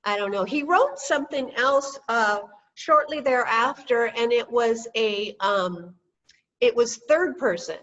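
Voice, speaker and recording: Chatty woman in her sixties, slightly high. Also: animated, a little slow, a bit bright. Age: 60s. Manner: chatty, joking, laughs often. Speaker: podcast host and novelist. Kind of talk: casual chat over a video call. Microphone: video call. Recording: lo-fi video call audio.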